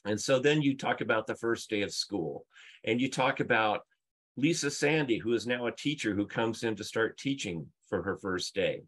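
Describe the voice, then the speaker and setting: A man's voice: fairly low pitch, expressive, moderate pace, fairly bright tone. A middle-aged man presenting on a video call, explaining emphatically through stories and rhetorical questions. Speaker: conference speaker, UX leader. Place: book-lined room at home, indoors.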